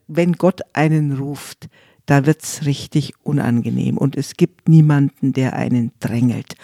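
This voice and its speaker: A man discussing biblical callings in a lively, explanatory way, with a few hesitations. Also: a little high, no echo, clean studio sound. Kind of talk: conversational religious podcast discussion.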